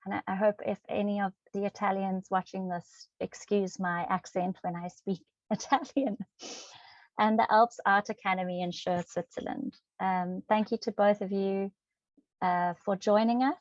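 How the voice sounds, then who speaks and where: A woman's voice: medium pitch, steady tone, normal speed, no room sound. A woman hosting a webinar, reading out speaker bios with frequent ums and self-corrections. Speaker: webinar host. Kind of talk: reading introductions with fillers. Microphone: video call. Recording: dry, dull lo-fi video call audio.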